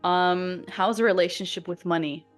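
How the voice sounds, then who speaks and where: A young woman from Germany, with German as her first language, hosts an interview. She asks her questions hesitantly, with many "um"s and slightly uneven English. Her voice sits a bit low and sounds dry and close, with faint music underneath. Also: steady tone, normal speed, no room sound. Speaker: podcast host.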